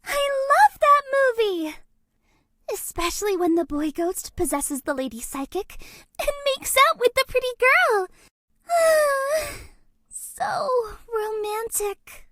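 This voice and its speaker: A woman's voice: very high voice, very animated, slow pace, bright, forceful tone, no room sound, clean studio sound, heavily acted. A woman voicing a shy, sweet, lovestruck young ghost girl for a dating game, with a cutesy, bashful manner. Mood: dreamy, chatty. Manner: cutesy, bashful, gushing, with hesitant breathy asides. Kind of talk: dating game character voice lines.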